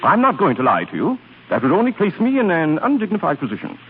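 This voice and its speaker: An actor playing a suave, condescending aristocratic suitor in 1930s radio drama style. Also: male voice, high-pitched, wildly swooping pitch, fast. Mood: smoothly condescending. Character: smooth, evasive aristocratic philandering suitor. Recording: muffled, noisy 1930s radio recording.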